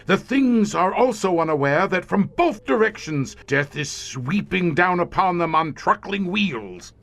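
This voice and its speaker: An actor playing a grim, weary older commander of a secret monster-hunting police squad in an audio horror drama, speaking in florid, deliberate phrases. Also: male voice, high voice, lively intonation, gravelly, fairly bright tone, exaggerated voice. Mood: gloating, frenzied. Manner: eloquent, florid, deliberate speech.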